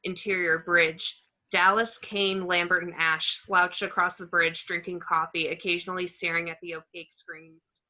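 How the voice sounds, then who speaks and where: A woman's voice: lowish voice, little pitch movement, moderate pace, pushed and bright, dull audio. A woman reading screenplay stage directions aloud as the narrator at an amateur table read.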